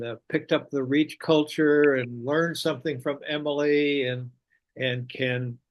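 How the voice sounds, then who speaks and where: A man hosting a video call meeting, introducing the speaker in a halting way with many uhs and repeated words. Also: medium pitch.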